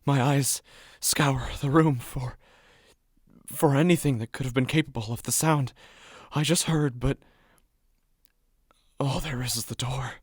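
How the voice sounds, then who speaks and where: A man acting a first-person horror audio drama as a paranoid, sleepless man full of dread, in a breathy, halting voice that often drops toward a whisper. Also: mid-range voice, no echo, clean studio sound. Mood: uneasy dread.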